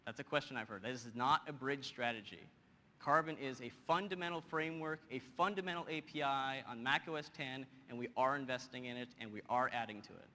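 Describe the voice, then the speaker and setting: Bright-voiced man presenting in a hall. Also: mid-range voice, a little fast. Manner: energetic, teasing the audience, frequent "you know" and "right?". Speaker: conference presenter. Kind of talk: technical keynote presentation with live demo. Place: large conference hall. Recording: long hall reverb, faint background hum.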